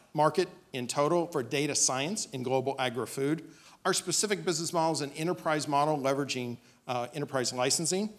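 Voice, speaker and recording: Man pitching a startup on stage. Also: a bit bright. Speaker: startup presenter. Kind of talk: business pitch presentation. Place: on stage in a dim auditorium.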